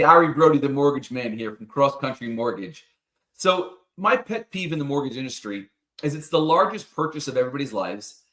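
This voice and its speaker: A man reading an upbeat sponsor ad for his mortgage business in a salesy way. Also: fairly bright tone. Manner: upbeat salesy pitch, repeats phrases. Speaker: mortgage lender in an ad. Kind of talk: sponsor ad read. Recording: roomy echo.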